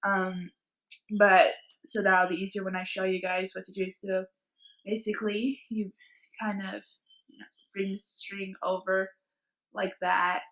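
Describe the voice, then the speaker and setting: A young woman with a hoarse voice from a cold, casually talking through a craft tutorial from her bedroom. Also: medium pitch, flat delivery, a bit bright, poor audio. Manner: slow, rambling, many fillers. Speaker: cosplay vlogger. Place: bedroom at home.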